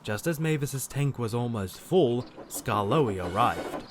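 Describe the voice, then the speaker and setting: A man's voice: mid-range voice, animated, unhurried, noisy background. A man narrating a scripted children's story as the narrator of an audio drama.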